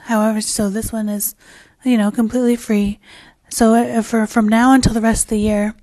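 Hoarse, raspy woman podcast host. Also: on the high side, flat delivery, normal speed, darkish tone, dry recording. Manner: rambling and candid, with frequent fillers and restarts; swears casually. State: hoarse, losing her voice. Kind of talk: casual solo podcast chat.

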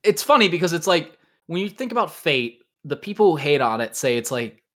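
A man's voice: on the high side, very animated, fairly quick, fairly bright tone. A man hosting a podcast, chatting casually and informally about his hobby, often hedging what he says. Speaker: podcast host. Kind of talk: casual chat about visual novels and anime.

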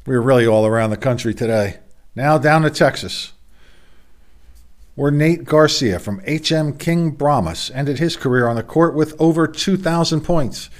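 A middle-aged man with a hoarse voice, hosting a casual sports roundup from a home studio, with rambling, self-deprecating asides. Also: a little high, normal speed. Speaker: sports podcast host.

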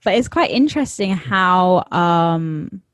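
A woman with a London accent hosting a podcast interview, speaking in a measured, thoughtful way with some hesitant fillers. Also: lowish voice, animated, no echo, clean studio sound. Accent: London English. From England. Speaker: podcast host.

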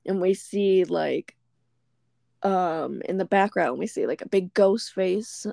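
Woman, podcast co-host, gravelly fry, casual film recap, frequent laughter. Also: on the low side, takes it slowly, warm tone, no room sound, clean studio sound. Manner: laughs often while recounting scenes. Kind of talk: casual movie recap chat. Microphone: remote call.

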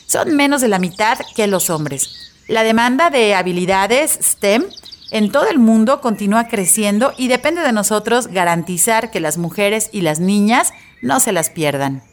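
A woman from Mexico, hosting an educational radio show and reading a scripted introduction in a steady, informative way. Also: medium pitch, moderate pace.

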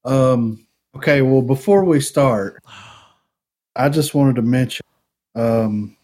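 Slow, laid-back man in his twenties. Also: medium pitch, a little mellow. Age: 20s. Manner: laid-back, laughs often, drawls. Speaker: podcast co-host. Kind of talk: casual banter.

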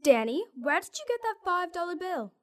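A stern, commanding woman schoolteacher from a children's storybook, as a narrator puts her on. Her voice sits high and swings widely in pitch. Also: sing-song, normal speed, a bit bright, exaggerated voice. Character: stern adult female elementary school teacher.

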